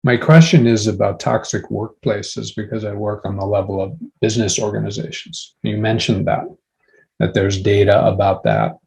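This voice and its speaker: Low-pitched man asking a question. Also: fairly even intonation, normal speed, creaky, a little mellow, poor audio. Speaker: audience member asking a question. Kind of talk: thoughtful question at an academic discussion.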